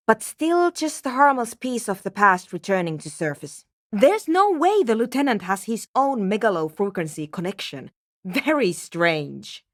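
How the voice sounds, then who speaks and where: An actress playing a cool, scientifically curious young woman programmer in a video game, speaking in a measured, technical, dryly formal way. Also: medium pitch, no room sound, clean studio sound. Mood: intrigued, analytical. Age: young adult. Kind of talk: video game character voice acting.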